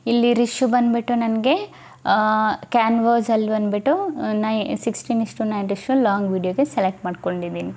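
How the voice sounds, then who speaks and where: A woman's voice: a little high, steady tone, fairly quick, mellow. A woman giving a casual step-by-step tutorial, often checking in with her listeners. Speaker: tutorial host.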